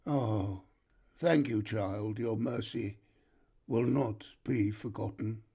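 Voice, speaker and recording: An actor playing a weary, humble captive in a game cutscene, with a creaky voice and a halting, resigned manner. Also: male voice, lowish voice, dark tone, full character voice. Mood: humble, uneasy. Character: weary, humble captive traitor facing judgment. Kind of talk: dramatic video game cutscene acting.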